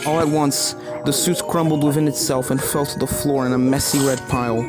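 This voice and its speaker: A woman's voice: very deep voice, fairly even intonation, brisk. Woman narrating over prominent music. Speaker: fan fiction reader. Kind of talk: scripted story narration.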